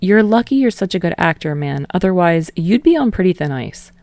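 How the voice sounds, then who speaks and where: A woman's voice: lowish voice, big pitch swings, a little fast, darkish tone, no echo. A woman voicing an unflappable, wry talent manager, a man who speaks with pointed, exasperated emphasis in a story reading. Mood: wry, assured.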